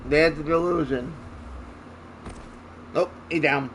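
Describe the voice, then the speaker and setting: A man's voice: a little high, slow, a bit bright, a little hiss or hum, poor audio. A man hosting a gaming video, giving casual commentary and short interjected reactions as he plays. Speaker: gaming channel host.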